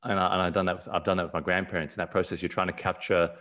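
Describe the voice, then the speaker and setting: A man speaks from a small room at home over a video call webcam. His voice sits low. He rambles gently, repeating words and restarting sentences as he talks. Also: little pitch movement, racing. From South Africa, raised in Australia. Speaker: podcast guest. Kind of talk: casual conversation on a video call.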